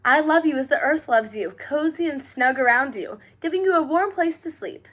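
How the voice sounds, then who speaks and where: A woman voicing gentle mother animals in a storybook, soothing and reassuring their young in measured phrases. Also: high voice, expressive. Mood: tender, reassuring.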